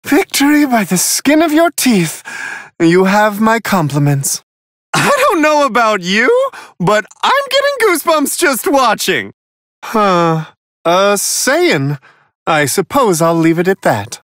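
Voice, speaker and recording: A prissy polite angel fight announcer for a video game, airy high male voice, super swoopy, slow and amused. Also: huge pitch swings, fairly bright tone, no room sound, studio quality, full character voice. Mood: grand, courteous. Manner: genteel, theatrical, courteous, wryly amused. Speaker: match commentator.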